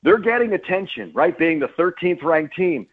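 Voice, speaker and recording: A man's voice: a little high, big pitch swings, moderate pace, breathy edge. A man speaking as a radio guest and play-by-play announcer, giving emphatic sports commentary and repeating phrases for stress. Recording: phone-like narrow-band radio line.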